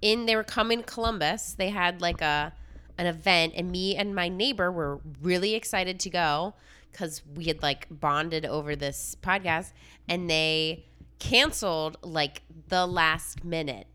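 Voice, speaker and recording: Young adult woman, congested, bright tone, blunt and chatty podcast co-host. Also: on the low side, a little slow, clear, resonant tone. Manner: frank, profane, chatty. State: congested with the flu. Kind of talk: casual chat.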